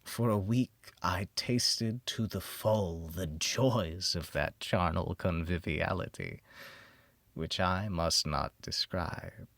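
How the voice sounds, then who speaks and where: A man narrating a gothic horror audiobook in a theatrical manner that moves between hushed near-whispers and heightened exclamation. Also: low voice, takes it slowly, vocal fry, no room sound. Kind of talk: dramatic scripted horror narration.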